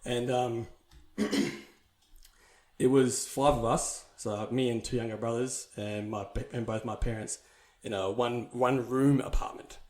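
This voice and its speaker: A man's voice: mid-range voice, fairly even intonation, measured pace, darkish tone. Rambling man telling his life story. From New Zealand. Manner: rambling, with many fillers and restarts. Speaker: podcast guest. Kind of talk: casual storytelling chat.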